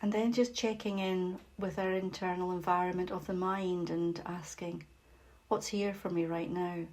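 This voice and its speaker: A woman leading a guided meditation as facilitator, speaking gently and without hurry, with long pauses and a soft voice that turns breathy at phrase ends. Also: lowish voice, steady tone.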